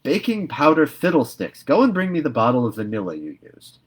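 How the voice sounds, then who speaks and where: Give me a man doing a sharp-tongued elderly woman, brisk and lively in pitch. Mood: exasperated. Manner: brisk, scolding.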